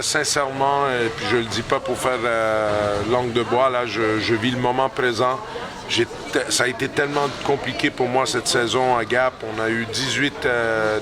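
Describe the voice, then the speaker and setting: A middle-aged hockey coach, answering post-game interview questions slowly, with many pauses and restarts. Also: male voice, mid-range voice, level tone, background noise. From Canada. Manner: hesitant, many fillers and restarts. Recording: loud crowd chatter behind.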